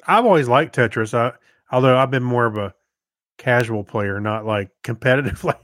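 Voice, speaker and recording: A man's voice: medium pitch, normal speed, a bit bright, no echo, clean studio sound. A man co-hosting a podcast, chatting casually and explaining things in a loose, rambling way. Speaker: podcast co-host. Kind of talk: casual chat.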